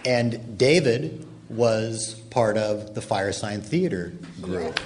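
A man's voice: fairly low pitch, animated, takes it slowly. A man interviewing guests as host of a live panel on stage. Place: large hall stage, before an audience. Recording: noisy live hall recording.

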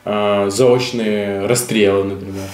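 A man's voice: on the low side, steady tone, takes it slowly, a little mellow. A man working as an interviewer, asking questions in an informal, familiar, conversational way. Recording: echoey room sound.

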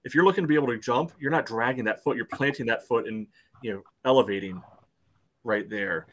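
A man's voice: mid-range voice, a little fast. A man co-hosting a casual soccer podcast over a video call, peppering his speech with "you know". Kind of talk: casual sports discussion.

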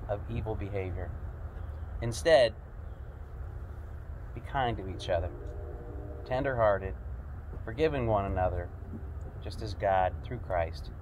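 A middle-aged man, a pastor giving a relaxed devotional talk in a snowy backyard, with many fillers, recorded by a far camera. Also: lowish voice. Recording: faint outdoor noise.

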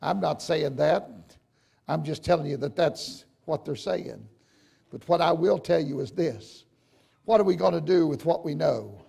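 An older man with a gravelly, raspy voice, preaching a folksy, emphatic sermon to his congregation. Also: high voice. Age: older, 60s or 70s. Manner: emphatic, folksy, addressing listeners directly with questions. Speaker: preacher, church bishop. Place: church sanctuary, at the pulpit.

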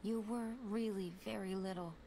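An actress playing a gentle, composed young woman warrior in a game, speaking softly and earnestly. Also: medium pitch, fairly even intonation, normal speed, creaky, slight background noise, natural voice. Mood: warm, reassuring. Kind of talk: dramatic game cutscene acting.

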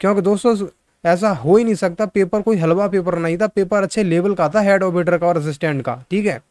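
A young man talks casually to his viewers. His voice sits a little high and is very clean and smooth. He is close to the microphone, with a dry sound and frequent conversational check-ins. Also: brisk, a little mellow, no room sound. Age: 20s. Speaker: YouTube job-news presenter. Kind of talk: casual informal update to viewers. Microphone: close microphone in front of mouth.

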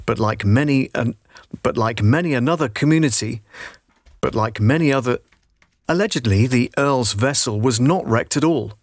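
Male voiceover, middle-aged, medium pitch, scripted promotional documentary read, very dry close booth recording. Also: normal speed, no echo. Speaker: voiceover artist. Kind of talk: scripted documentary-style narration, demo takes. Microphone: close studio microphone.

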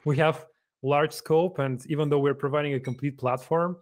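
Soft-spoken Bulgarian-accented man. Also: on the high side, steady tone, moderate pace. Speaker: guest software engineer. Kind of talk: casual video-call interview. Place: living room at home. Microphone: desk microphone on video call.

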